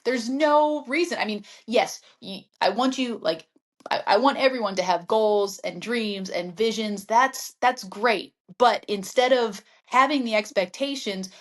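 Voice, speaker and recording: A woman's voice: fairly high pitch, unhurried. A woman guest, a real estate agent and mindset coach, talking in an earnest, reflective way in casual interview chat. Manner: reflective, earnest, frequent "I mean" and "yep".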